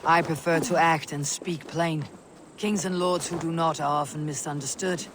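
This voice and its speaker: A woman's voice: deep voice, fairly even intonation, normal speed, background noise, close to an everyday voice. A woman playing a stern, plain-spoken Viking clan leader in a video game, terse and grave. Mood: frank, wry. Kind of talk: video game character acting.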